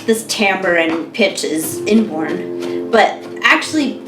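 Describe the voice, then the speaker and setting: An American woman in her thirties co-hosting a podcast, chatting casually with clear, careful diction and frequent laughter. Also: medium pitch, expressive, takes it slowly. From United States. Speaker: podcast co-host. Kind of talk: casual chat. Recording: echoey room with music behind.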